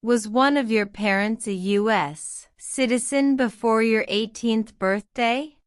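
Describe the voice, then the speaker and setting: A woman reading interview practice questions and answers aloud, saying each line twice in a slow, deliberate drill. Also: fairly high pitch, clear, resonant tone, no echo, studio quality. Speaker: citizenship test practice narrator.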